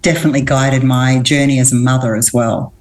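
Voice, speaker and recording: Low-voiced middle-aged Australian woman. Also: steady tone, a little mellow. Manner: thoughtful, earnest, pauses and restarts. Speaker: guest, women's coach. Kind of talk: reflective interview answers.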